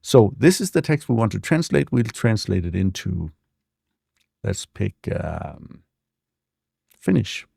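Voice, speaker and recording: A man walking through a software demo in a relaxed, explanatory way, with frequent fillers, speaking close to a desk mic. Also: on the low side, slow pace, vocal fry, pauses often, slightly rough, no room sound. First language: not English. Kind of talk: screen-share tutorial walkthrough.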